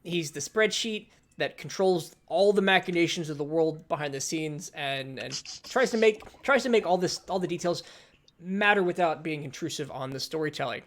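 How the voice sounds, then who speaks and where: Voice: medium pitch, moderate pace, a bit bright. A dungeon master hosting a casual tabletop game stream, joking and self-deprecating, often stammering and restarting. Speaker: dungeon master and show host.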